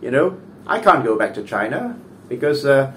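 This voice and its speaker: A man's voice: mid-range voice, sing-song, normal speed, slight background noise. A man from Malaysia, giving an earnest political opinion monologue and tagging points with "right?". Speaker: political commentator.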